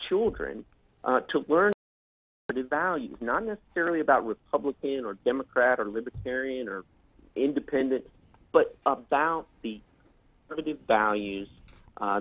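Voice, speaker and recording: Guest on a call-in line, stop-start talker full of uhs, tinny dry phone sound. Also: male voice, mid-range voice, no echo. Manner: hesitant, many fillers and restarts. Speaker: guest on a political talk show. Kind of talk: casual promotional talk.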